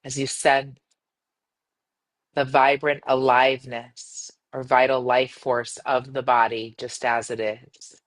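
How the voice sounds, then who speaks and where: Woman meditation guide: gentle, soothing delivery, measured phrasing, long pauses between instructions. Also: rumbling low, level tone, low-quality recording. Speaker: yoga nidra meditation guide.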